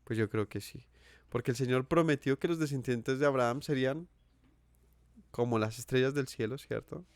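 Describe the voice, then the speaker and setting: A man's voice: mid-range voice, normal speed, no room sound. Colombian man, preacher, earnest sermon, repeats for emphasis, dry close sound. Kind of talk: sermon teaching.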